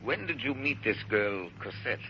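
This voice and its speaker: An actor playing a big, secretive French police inspector in a 1930s radio drama, questioning in a clipped, deliberate manner. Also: male voice, mid-range voice, animated, sharp tone. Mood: coolly probing. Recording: muffled, hissy old radio broadcast.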